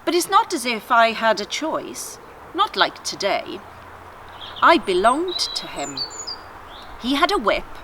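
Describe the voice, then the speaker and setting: A woman's voice: fairly high pitch, very animated, takes it slowly, long pauses, sharp tone, exaggerated voice. A woman voicing a talking female donkey in a children's story, worldly, wry and self-assured. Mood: wry, matter-of-fact. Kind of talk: children's storybook character reading. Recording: faint outdoor garden noise.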